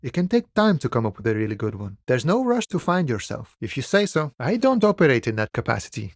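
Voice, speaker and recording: A man's voice: medium pitch, big pitch swings, no echo, studio quality. A male actor playing a laid-back, philosophical harbor worker on strike in a video game, speaking in an easygoing, wry, musing way. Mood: calm, wry. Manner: easygoing, wry, musing, unhurried folksy phrasing. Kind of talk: video game dialogue acting.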